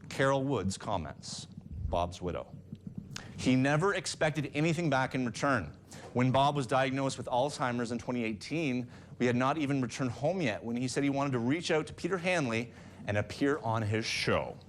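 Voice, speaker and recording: Guy anchor reading out a tribute, average pitch, bit of ambient noise behind him. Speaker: news anchor. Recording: hiss and ambient room noise.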